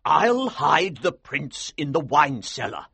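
Military colonel, husky strain, slow emphatic delivery, raised pitch, scheming and commanding, audiobook adventure. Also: male voice, big pitch swings, a bit bright, dry recording, exaggerated voice. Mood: excited. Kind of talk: audiobook character acting.

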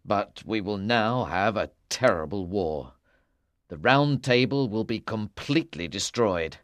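A proud, wronged king from a storybook drama, acted out by a man. He declaims solemnly, his pitch moving widely. The sound is close and dry. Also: expressive, measured pace, dry recording. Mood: troubled, grave. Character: grieving, betrayed king, proud and wrathful.

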